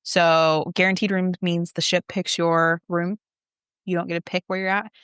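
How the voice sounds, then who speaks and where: Smooth-voiced chatty woman podcaster. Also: on the low side, level tone, moderate pace, fairly bright tone, dry recording, studio quality. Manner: chatty, peppers speech with "like". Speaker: podcast co-host and travel agent. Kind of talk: casual podcast chat.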